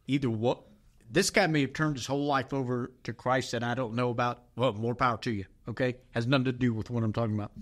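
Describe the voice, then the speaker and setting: Middle-aged male pastor, podcast co-host, animated and emphatic storyteller. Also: mid-range voice. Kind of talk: casual chat.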